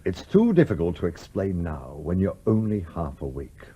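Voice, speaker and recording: Gruff, husky ghostly sea captain. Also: male voice, low-pitched, sing-song, normal speed, muffled recording, full character voice. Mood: quiet, firm. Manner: commanding, exasperated, old-fashioned nautical oaths. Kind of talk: radio play acting.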